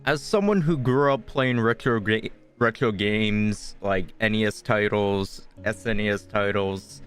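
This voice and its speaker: A man's voice: on the low side, a little slow, a bit bright. A young man live-streaming a game with casual, offhand, rambling commentary and some swearing. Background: game music.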